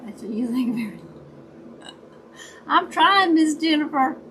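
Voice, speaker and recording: A woman with a raspy voice, chatting casually with her viewers during a crafting livestream and greeting them by name. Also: sits high, expressive, measured pace, a bit bright, slight background noise. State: raspy voice, dry throat. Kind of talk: casual chat while crafting.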